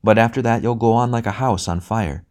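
A man voicing a bright spirit, a brother who speaks gently and patiently in a calm, measured way. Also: on the low side, voice pushed up, close to an everyday voice. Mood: gentle, patient.

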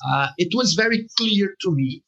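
Male cartoonist interviewee, hesitant, husky and bright. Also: high voice, lively intonation, normal speed, dull audio. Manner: hesitant, many fillers and restarts. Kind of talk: conversational interview answer.

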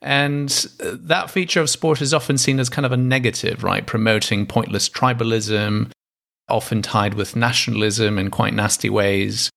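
A man from Greece with a low, gravelly voice hosts the conversation. He lays out long questions casually and pads them with fillers as he talks. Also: steady tone, normal speed, fairly bright tone. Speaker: interviewer, podcast host.

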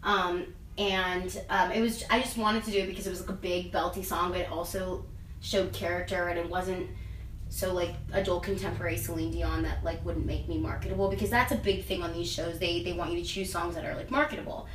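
A woman's voice: lowish voice, steady tone, brisk, slight background noise. A young adult woman chatting casually in an interview, rambling and filling her talk with "like" and "um.". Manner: rambling, heavy use of "like" and "um". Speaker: interviewee, singer and actress. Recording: echoey room, mic at a distance, faint ambience.

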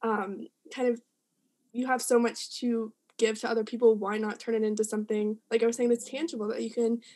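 A woman's voice: fairly high pitch, flat delivery, fairly quick, warm tone. A woman co-hosting a podcast in casual chat, responding in an affirming, loosely worded way. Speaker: podcast co-host.

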